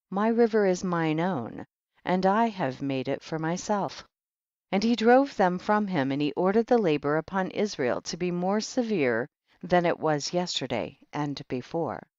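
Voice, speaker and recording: A man giving a solemn, measured audiobook narration of old scripture in archaic wording. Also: sits high, a little mellow, dry recording, muffled recording. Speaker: audiobook reader.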